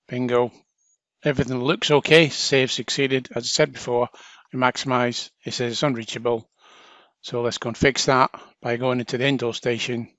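A man's voice: medium pitch, little pitch movement, moderate pace, fairly bright tone, dry recording. Man giving a casual tutorial, dry close sound. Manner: informal, chatty, talks through each action. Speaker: training video instructor. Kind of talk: casual step-by-step software tutorial narration.

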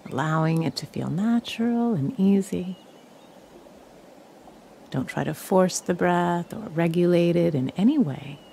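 A woman leading a guided meditation in a calm, soothing, measured way, leaving long pauses. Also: on the low side, creaky, a little hiss or hum.

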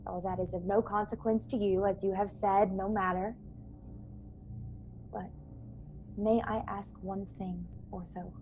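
A young woman playing an earnest, courteous starship officer in a radio play, measured, polite and gently persuasive. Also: mid-range voice, little pitch movement, close to an everyday voice. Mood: earnest, pointed. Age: young adult. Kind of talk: radio play acting over video call. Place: small bedroom, indoors. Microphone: earbuds on a video call. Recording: clear background noise on video call.

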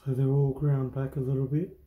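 A man, talking casually and haltingly about his workshop repair, with many pauses and ums, filmed close up on a handheld phone in an indoor workshop. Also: medium pitch, little pitch movement, phone-quality sound. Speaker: hobby boat mechanic. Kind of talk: casual workshop show-and-tell. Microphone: handheld phone camera, close-up.

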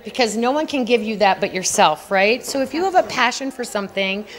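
A woman's voice: fairly high pitch, expressive, a little fast. A middle-aged woman, an award honoree, talking earnestly and chattily in a red carpet interview at an indoor gala, with frequent little laughs. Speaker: award honoree, beauty entrepreneur. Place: indoor gala press wall. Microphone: handheld mic held by interviewer.

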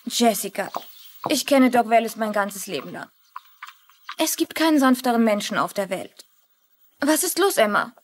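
Casting: high-pitched, proud, indignant ranch heiress, dubbed mystery series. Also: female voice, expressive, moderate pace, pauses often. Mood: earnest. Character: proud, indignant rancher's daughter, sharp-tongued. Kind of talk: dubbed TV drama acting.

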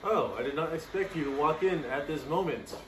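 A man's voice: a little high, expressive, fairly quick, loud music behind the voice. A man in his thirties talking casually to a camera a few feet away in a living room. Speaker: video host. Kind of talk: casual vlog. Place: living room floor, indoors. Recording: echoey, distant, noisy room recording.

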